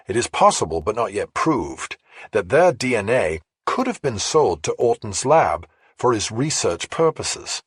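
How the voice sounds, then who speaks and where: A man voicing a measured, matter-of-fact female investigative journalist in an audiobook, explaining things carefully. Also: on the low side, breathy edge, no room sound, clean studio sound, exaggerated voice. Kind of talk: audiobook character dialogue.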